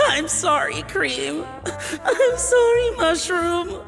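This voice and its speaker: A woman's voice: high-pitched, very animated, drawn-out words, sharp tone, long reverb tail, faint music behind, heavily acted. A woman playing a timid, apologetic junior cook in a video game, meek and stammering. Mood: meek, tearful.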